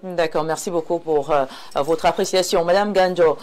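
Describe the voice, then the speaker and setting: A woman's voice: lowish voice, steady tone, normal speed, a little mellow. A woman hosts and moderates a live debate among panelists. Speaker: host. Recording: faint background noise.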